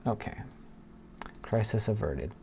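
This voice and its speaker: A man's voice: fairly low pitch, animated, takes it slowly, vocal fry. A man streaming as he codes, thinking aloud casually and muttering to himself. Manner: muttering to himself, murmured asides with sighs and hums. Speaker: programmer live streamer. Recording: noisy room with keyboard clicks. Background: computer keyboard typing and clicking.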